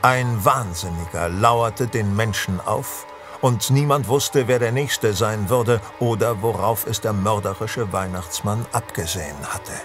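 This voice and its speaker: An actor as an ominous storyteller in a horror radio play. His voice is low and very slow, each phrase set down deliberately. Music plays prominently behind him. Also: natural voice. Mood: ominous, measured. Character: ominous male storyteller of a Christmas horror tale. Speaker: narrator. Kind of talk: scripted horror audio drama narration.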